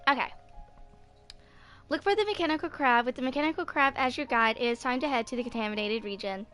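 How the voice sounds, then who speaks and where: A woman's voice: high-pitched, fast, fairly bright tone. A woman in her twenties giving casual playthrough commentary on a video game, sometimes reading text aloud. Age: 20s. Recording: game music behind the voice.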